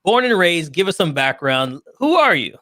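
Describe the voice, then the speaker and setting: Man, show host, fairly high voice, hype-style emphatic delivery, dry close recording. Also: very animated, normal speed, bright, forceful tone, dry recording. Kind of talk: enthusiastic hosting, casual chat.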